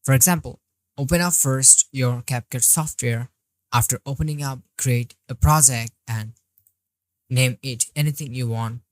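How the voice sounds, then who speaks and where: Woman instructor with a strikingly low voice, unhurried, talking through each click in a dry, close voice-over. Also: dry recording. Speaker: software tutorial instructor. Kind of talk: screen-recorded tutorial walkthrough.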